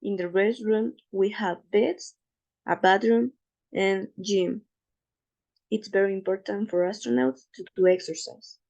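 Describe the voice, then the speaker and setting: Gravelly-voiced woman presenting slides. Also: mid-range voice, steady tone, darkish tone. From Ecuador. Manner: careful, slightly halting non-native English with fillers. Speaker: student presenter.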